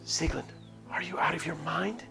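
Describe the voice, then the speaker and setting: A young village woman from a visual novel, played by a man, pitched well up from the actor's talk. She sounds earnest and conspiratorial, like someone plotting with close friends. Music is clear in the background. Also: moderate pace. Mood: alarmed. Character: young village women, anxious, scheming friends.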